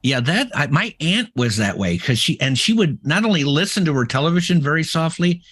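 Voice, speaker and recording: Brief: middle-aged male co-host, boisterous and loud, casual storytelling chat. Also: a little high, big pitch swings, fairly quick, slightly rough. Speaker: podcast co-host.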